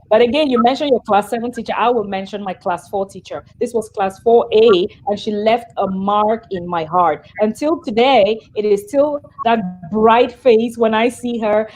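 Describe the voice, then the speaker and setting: A woman with a slightly high voice hosts a casual interview over a video call. She sounds upbeat and laughs often between her questions. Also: a little mellow, low-quality recording. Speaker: host.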